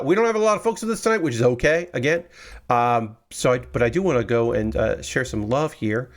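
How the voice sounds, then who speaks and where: A man streaming live from a small room at home, chatting casually and rambling while he builds, with a loud booming voice. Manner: rambling, chatty, restarts often.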